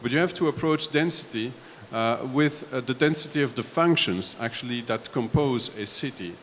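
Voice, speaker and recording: A man from Belgium on a discussion panel, laying out his points one step at a time in a halting way. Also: mid-range voice, fairly bright tone. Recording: echoey hall, faint background noise.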